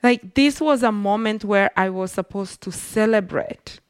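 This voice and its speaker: A woman's voice: medium pitch, unhurried, clean tone, dry recording, studio quality. A woman from Rwanda with a Rwandan accent, telling her personal story as an interview guest in an earnest, reflective way.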